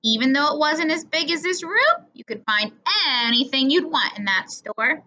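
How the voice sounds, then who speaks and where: A woman's voice: sits high, lively intonation, normal speed, sharp tone, a little hiss or hum. A woman voicing an outspoken, folksy old grandmother from a storybook, plainspoken and rambling as she reminisces. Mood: fond, reminiscing. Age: older. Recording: dull lo-fi recording.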